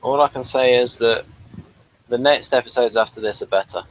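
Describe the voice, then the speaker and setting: A man's voice: mid-range voice, normal speed, rough recording. A man co-hosting a casual review chat, speaking in a hesitant, understated way. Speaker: co-host of an episode review show.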